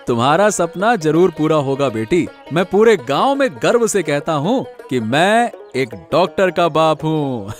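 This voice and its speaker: Guy playing earnest village dad in a cartoon, highish voice that swings a lot, bit of music behind. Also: sing-song, slow, few pauses. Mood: proud, tender. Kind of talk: animated moral story acting.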